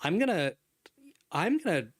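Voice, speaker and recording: A man's voice: high voice, sing-song, a little slow, breathy edge. A man in his thirties hosting a casual livestream from a home studio, chatting at length, laughing often and reading chat messages aloud. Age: 30s. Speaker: livestream host. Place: home studio room with couch and neon lights. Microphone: desk microphone in front.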